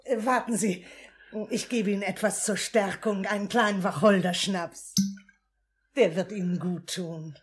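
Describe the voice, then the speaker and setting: An actress playing a harsh but fawning orphanage matron in a 1950s German radio drama. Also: female voice, steady tone, a little slow, natural voice. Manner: shrill scolding toward inferiors, obsequious toward superiors.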